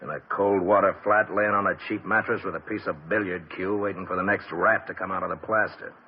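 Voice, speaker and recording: A blunt streetwise gangster. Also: male voice, low voice, steady tone, fairly quick, breathy edge. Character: tough blunt gangster father, middle-aged man. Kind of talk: 1950s radio drama acting. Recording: dull lo-fi old radio broadcast.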